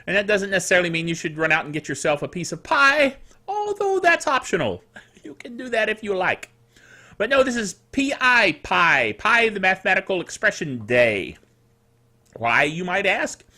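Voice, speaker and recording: Man, bright high voice, slightly rough throat, chatty tech host, jokey asides. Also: sing-song, normal speed. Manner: chatty, jokey, digressive, self-correcting. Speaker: host of a tech news show; technical director for radio stations. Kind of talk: casual scripted tech news commentary with asides.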